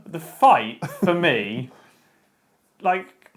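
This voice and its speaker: Male host, slightly high voice, opinionated, conversational explainer. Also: lively intonation, very slow. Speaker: show host. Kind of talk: casual chat and book review.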